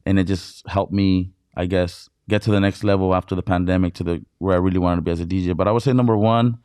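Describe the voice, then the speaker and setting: A man from Mexicali, a DJ guest on an interview show, telling stories in excited, casual talk full of filler words and swearing. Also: low voice, quick pace, smooth, no echo, studio quality. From Mexicali, Baja California, Mexico.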